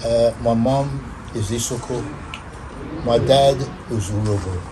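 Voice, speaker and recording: An older man from Nigeria, talking slowly and deliberately as an interview guest in a studio. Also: mid-range voice, background noise. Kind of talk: conversational interview.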